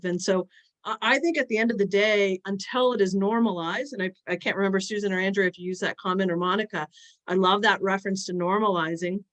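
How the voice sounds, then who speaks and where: A woman speaking as a panelist in an online discussion, earnest and halting, with frequent fillers and restarts. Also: mid-range voice, fairly bright tone, rough recording.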